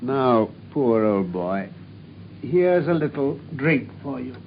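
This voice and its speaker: An actor playing a brilliant, self-assured English gentleman detective in a theatrical, precise mid-century radio drama style. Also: mid-range voice, lively intonation, slow pace. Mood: measured, methodical. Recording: muffled, faintly noisy old radio recording.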